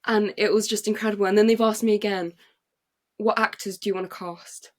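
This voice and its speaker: A young English woman, a playwright and actress, telling her story in an excited, chatty way with many fillers and restarts. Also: medium pitch, fairly quick. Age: young adult. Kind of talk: casual interview storytelling. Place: podcast recording.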